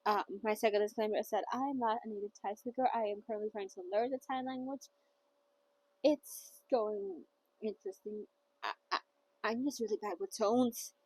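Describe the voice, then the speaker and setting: A woman's voice: fairly high pitch, steady tone, moderate pace, a little mellow, husky, rough recording. A woman hosting a casual novel read-along who rambles chattily and often trips over words. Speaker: book reader and host.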